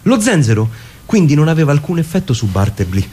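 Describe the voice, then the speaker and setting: A man giving a theatrical literary reading, musing and pausing deliberately as he narrates. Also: fairly high pitch, sing-song, warm tone, breathy edge. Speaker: narrator and performer.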